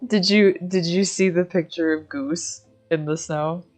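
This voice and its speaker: Low-voiced American woman, slow and casual. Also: fairly even intonation, darkish tone, faint music behind. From Michigan, United States. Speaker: game livestream host. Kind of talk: casual livestream chat while gaming.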